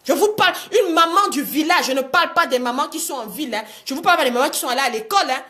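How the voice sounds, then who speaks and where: A woman coaching online viewers in an animated, exhortative live talk, repeating phrases and asking rhetorical questions for emphasis. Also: high-pitched, rapid-fire. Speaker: motivational coach hosting a livestream.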